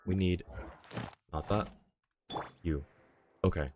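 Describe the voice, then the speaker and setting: A man's voice: deep voice, takes it slowly, darkish tone, slight background noise. A man talking casually on a live gaming stream, rambling and thinking aloud as he goes. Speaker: game livestreamer.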